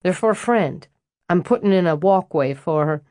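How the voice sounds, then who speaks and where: A laid-back man with a drawl, as a woman narrator puts him on, teasing and flirtatious as he talks. The voice sits on the low side, recorded very dry and close. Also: expressive, moderate pace, dark tone, dry recording, natural voice. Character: easygoing flirtatious southern man, teasing landscaper.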